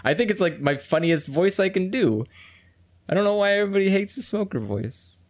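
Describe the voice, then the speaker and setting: Animated man with a lively voice. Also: brisk. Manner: animated, jumps into high put-on voices, laughs a lot. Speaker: co-host, voice actor for let's plays. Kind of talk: casual podcast chat.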